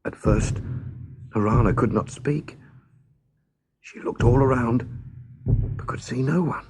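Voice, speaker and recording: A man narrating a scripted children's story as a storyteller, expressive, measured and dramatic. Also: medium pitch, slightly rough.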